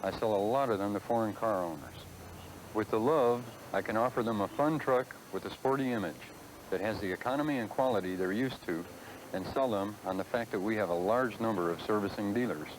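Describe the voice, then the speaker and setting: Low-voiced salesman guy, plain and confident, old film sound. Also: a little fast, rough recording. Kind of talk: scripted testimonial. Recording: 1970s film soundtrack with faint hiss.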